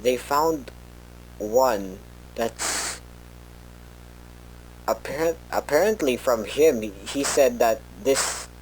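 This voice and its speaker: A man playing a worried researcher who records a rambling, halting, informal tape log for an analog horror story. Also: medium pitch, animated, vocal fry, a little hiss or hum, full character voice, rough recording. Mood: somber, halting. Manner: hesitant, halting, rambling with self-corrections.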